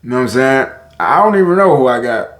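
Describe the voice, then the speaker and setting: Rambling man with a deep voice. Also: very animated, fast, a bit bright, echoey room. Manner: rambling, self-interrupting, talks to viewers. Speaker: vlogger. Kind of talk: casual talk to camera.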